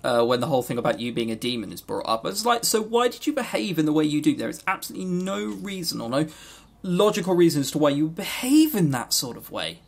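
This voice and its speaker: A man's voice: big pitch swings, fast talker, vocal fry. A man co-hosting a podcast, giving an unscripted, exasperated, sweary review with emphatic delivery. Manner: mimics characters in high exaggerated voices. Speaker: podcast co-host reviewing visual novels.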